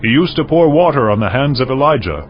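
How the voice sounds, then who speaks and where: An actor playing a dutiful royal army officer giving a report in a dramatized scripture reading. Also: male voice, medium pitch, very animated, fast, lightly acted. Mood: informative. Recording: muffled audio over faint music.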